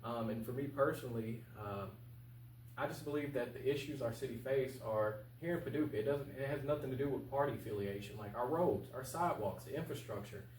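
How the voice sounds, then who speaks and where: A man's voice: medium pitch, a little fast, darkish tone. A man speaking informally as an interview guest and political candidate, earnest and plain-spoken. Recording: echoey, distant mic with faint noise.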